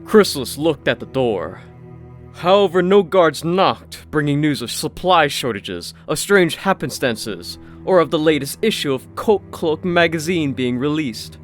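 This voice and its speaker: A man narrating a scripted fantasy story, expressive, with wry asides. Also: on the high side, measured pace. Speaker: audiobook narrator. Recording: faint music behind the voice.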